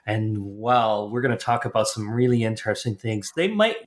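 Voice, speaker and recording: A man's voice: on the low side, expressive, normal speed. A man co-hosting a podcast, chatty and playful, with a somewhat rambling way of asking questions. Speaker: co-host.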